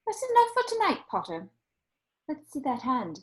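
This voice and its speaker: A woman's voice: high voice, wildly swooping pitch, normal speed. A woman playing a prim, mock-polite, sweetly menacing middle-aged teacher in an amateur script read. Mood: sinister sweetness.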